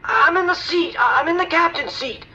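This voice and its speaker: An actor playing a panicked, helpless airline passenger in an audio drama, stammering and alarmed. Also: male voice, very high voice, moderate pace, sharp tone, exaggerated voice. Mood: shaken. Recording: tinny lo-fi cockpit radio call.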